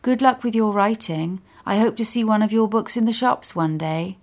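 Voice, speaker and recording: A woman reads as a kindly lady in a spoken story. She is sincere and gentle, with a wistful manner. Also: mid-range voice, moderate pace, pitched above the performer's own voice, close to an everyday voice.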